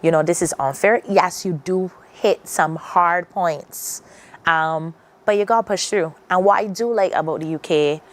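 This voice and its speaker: Barbadian woman, low-pitched voice, earnest, reflective, restarts her sentences. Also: unhurried. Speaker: guest. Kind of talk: morning TV interview.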